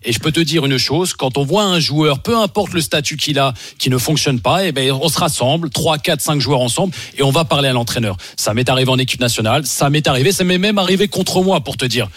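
Ex-footballer guy on sports radio, talks quick, keeps pushing his point. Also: a little high, lively intonation, a bit bright, no echo. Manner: insistent, pushes to finish his point. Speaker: radio football pundit, former player. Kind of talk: lively sports radio debate.

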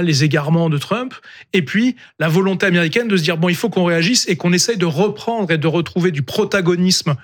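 Measured expository man. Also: on the high side, slightly rough. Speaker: panel guest. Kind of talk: TV panel discussion.

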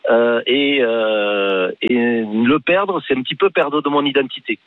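Male caller, southern French Toulouse accent, halting, mid-pitched, narrow telephone audio. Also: no echo. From Toulouse, France. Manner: hesitant, halting. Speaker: radio phone-in caller. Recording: thin narrow phone line.

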